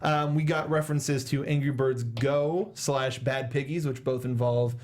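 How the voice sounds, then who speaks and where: A man's voice: mid-range voice, steady tone, unhurried, a little mellow. A young man co-hosting a casual film review chat, playfully sarcastic and mock-dramatic, close on a studio microphone. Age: young adult. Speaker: talk show co-host. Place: small indoor studio. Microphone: close desk microphone with foam windscreen, headset monitoring.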